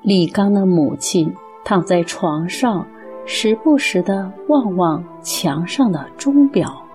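A woman's voice: lowish voice, lively intonation, takes it slowly, darkish tone, soft background music. A woman narrating a radio drama from a script as its storyteller.

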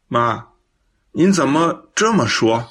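A man playing a guilty, devoted young son in a radio drama, earnest, halting and pleading. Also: medium pitch, expressive, fairly bright tone. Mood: evasive, nervous. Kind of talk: Chinese radio drama acting.

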